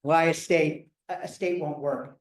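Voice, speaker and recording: Man giving a talk, higher voice, echoey hall, heard from a distance. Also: fairly even intonation, unhurried. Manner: thoughtful, thinking aloud with restarts. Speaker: rabbi and lecturer. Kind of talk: lecture answering audience questions. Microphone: microphone failing, speaking loud from a distance.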